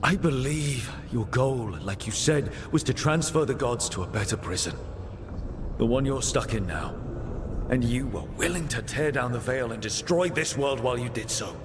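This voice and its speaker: Male actor as defiant fantasy game hero, blunt, dry delivery, medium pitch, long reverb. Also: lively intonation, normal speed, background noise, lightly acted. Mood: sarcastic, accusing. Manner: plain-spoken, dry, challenging. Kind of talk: dramatic fantasy video game dialogue.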